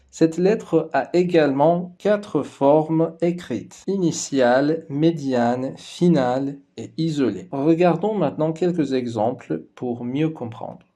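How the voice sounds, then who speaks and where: A native Persian-speaking man leads a scripted lesson at an unhurried, deliberate pace. His voice sits fairly high for a man but sounds soft and mellow. He pronounces each example twice with care before translating it. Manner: slow and careful, repeating each word twice before translating it. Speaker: Persian language teacher.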